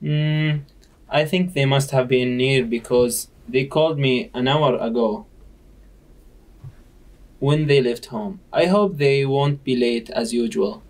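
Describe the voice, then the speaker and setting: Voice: medium pitch, takes it slowly, natural voice, rough recording. A performer voicing a sociable party-planning puppet in an amateur skit, speaking careful, learner-like scripted English. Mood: mildly reproachful. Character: sociable party host among puppet friends.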